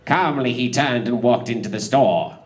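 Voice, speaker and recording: A man's voice: medium pitch, moderate pace, noisy background. A man narrating comic fan fiction in a theatrical, giggly way, prone to breaking up and tossing in asides.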